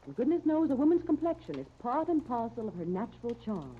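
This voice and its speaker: Animated 1930s radio ad woman, tinny sound. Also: fairly high pitch, a little fast. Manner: genteel, persuasive, carefully phrased. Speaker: commercial spokeswoman. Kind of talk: 1930s scripted radio advertisement. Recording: tinny, hissy 1939 radio disc recording.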